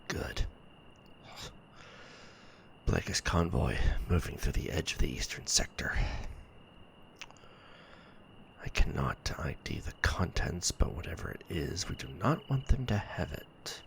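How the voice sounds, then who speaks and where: Male actor for stranded sci-fi soldier: worn-out, bitter, rock-bottom pitch, heavy vocal fry, muttered ASMR log delivery with sighs. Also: animated, fairly bright tone, a little hiss or hum, full character voice. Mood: grimly alert. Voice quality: very deep, gravelly, breathy murmur. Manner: low muttering with sighs and hesitant pauses. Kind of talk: sci-fi ASMR roleplay audio log monologue.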